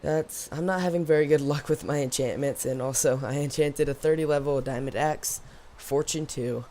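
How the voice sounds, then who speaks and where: A man with a slightly hoarse voice giving casual, rambling, unscripted let's play commentary as host of a gaming video. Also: on the high side, steady tone, moderate pace, a little mellow, slight background noise. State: slightly hoarse after losing voice from yelling.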